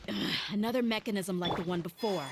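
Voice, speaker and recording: A woman's voice: mid-range voice, fast talker, noisy background, natural voice. Woman acting a practical young adventurer. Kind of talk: video game dialogue.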